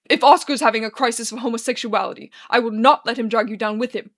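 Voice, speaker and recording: A woman voicing a stern, pious, fatherly male religious mentor in a dramatic story, earnest and forceful. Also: medium pitch, fairly quick, lightly acted. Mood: roaring.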